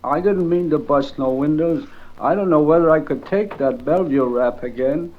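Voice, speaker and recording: A man playing meek high-voiced wino drifter. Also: moderate pace, slight background noise, close to an everyday voice. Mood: apologetic, worried. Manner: apologetic, slangy, uneducated speech. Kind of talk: 1950s radio drama acting. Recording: dull vintage 1950s radio recording.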